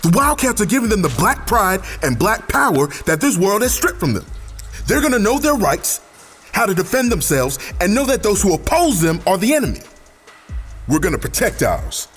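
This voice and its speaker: Man acting a resolute militant leader, very animated. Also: sits high, moderate pace, soft background music. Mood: firm, fervent. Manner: assertive, declarative. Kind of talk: audio drama acting.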